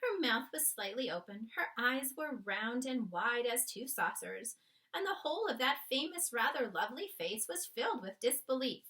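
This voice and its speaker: A woman's voice: fairly high pitch, big pitch swings, normal speed, pushed and bright. A woman reading a children's book aloud as narrator, with dramatic, emphatic storytelling. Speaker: storybook reader.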